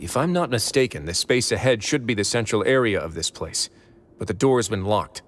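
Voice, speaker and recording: A man voicing a calm, earnest young investigator in a video game, speaking in a measured, articulate and philosophical way. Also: lowish voice, natural voice. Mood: composed, decisive.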